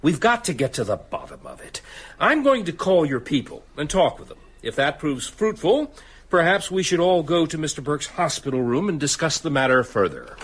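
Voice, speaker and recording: A domineering, rational father in a radio drama. The man is husky and formal, questioning pompously with sharply varied intonation. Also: a little high, sing-song, normal speed, fairly bright tone, full character voice. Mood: grudging, measured.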